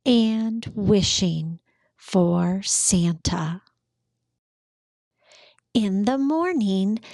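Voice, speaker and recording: A woman narrating a children's picture book in a careful, expressive storytelling manner. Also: a little high, no echo, clean studio sound. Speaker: read-aloud storyteller.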